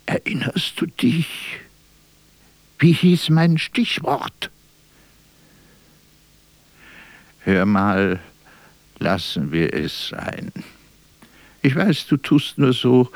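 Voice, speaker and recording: An actor playing a faded old vaudeville performer in a radio play, vain and forgetful, talking theatrically and haltingly with a gravelly, husky voice. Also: male voice, lowish voice, no echo, heavily acted. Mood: nostalgic, coaxing, then aggrieved, scolding. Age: older. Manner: theatrical, halting, breaking off and repeating himself. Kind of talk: radio drama monologue.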